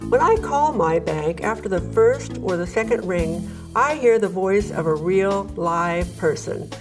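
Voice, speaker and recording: A woman giving a scripted customer testimonial in a radio ad, with a bright, upbeat delivery. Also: medium pitch, expressive, measured pace. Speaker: bank customer in an ad. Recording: radio ad with clear music bed. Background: music bed.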